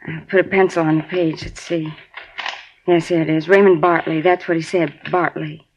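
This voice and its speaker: A woman's voice: low-pitched, fairly even intonation, moderate pace, darkish tone, dull audio, a little hiss or hum, close to an everyday voice. An actress playing a talkative, folksy middle-aged apartment landlady in a 1950s radio drama. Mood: chatty.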